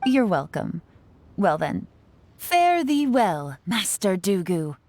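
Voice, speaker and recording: An actress playing a poised, shrewd young woman investigator in a video game, measured and slightly theatrical, with courteous phrasing. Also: mid-range voice, very animated, a little mellow, no room sound. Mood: mock-formal, courteous. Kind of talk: scripted video game voice acting.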